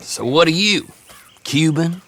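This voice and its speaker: An actor playing a cocky, menacing backwoods horse dealer with a needling Southern drawl in a game cutscene. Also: male voice, a little high, slow pace, sharp tone, slight background noise. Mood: mocking, menacing. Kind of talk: video game cutscene acting.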